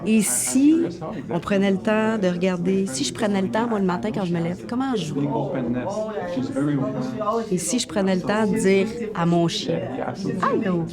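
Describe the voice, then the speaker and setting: A woman's voice: mid-range voice, moderate pace. A woman with a Quebec French accent, speaking casually and reflectively in a discussion. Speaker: documentary participant. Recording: loud music over the talk. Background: loud music.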